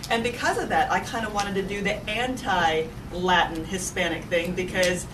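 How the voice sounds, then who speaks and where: A woman's voice: on the low side, fairly even intonation, normal speed. An American woman speaking informally and emphatically on a discussion panel, repeating phrases for effect. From suburban Dallas, USA. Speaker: news anchor on a discussion panel. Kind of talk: animated, informal panel talk. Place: event room at a live panel discussion. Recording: noisy, echoey room, distant mic.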